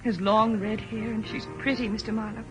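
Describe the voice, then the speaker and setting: An actress playing an anxious, polite young woman who speaks haltingly and earnestly, in 1940s radio drama style on an old recording. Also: on the high side, little pitch movement, music in the background, natural voice, rough recording. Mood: worried, earnest. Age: young adult.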